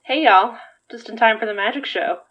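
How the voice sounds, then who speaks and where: A woman's voice: fairly high pitch, moderate pace, lo-fi sound, slight background noise, close to an everyday voice. An actress playing an easygoing, bemused young woman with a casual, wry delivery, in a naturalistic audio drama.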